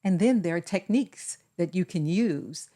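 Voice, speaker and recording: A woman co-hosts a podcast in relaxed conversation, her voice sitting slightly low. She talks in a measured, deliberate way, laying out advice step by step. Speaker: podcast co-host. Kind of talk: casual conversation.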